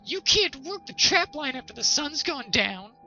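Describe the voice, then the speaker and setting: A high, shrill nag of a wife, scolding and full of contempt. She harps away in storybook fashion over a clear music bed. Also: very animated, unhurried, sharp tone, lo-fi sound, exaggerated voice. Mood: harping, bossy. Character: nagging, ill-tempered, contemptuous trapper's wife. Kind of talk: storybook character voice. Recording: music behind the voice.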